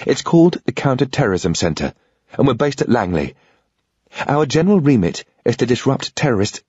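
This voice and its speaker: A man's voice: medium pitch, sing-song, normal speed, a little mellow, breathy edge, dry recording, dull audio, pitched above the performer's own voice. A man voicing a determined young British woman agent who talks in an insistent, earnest, persuasive way.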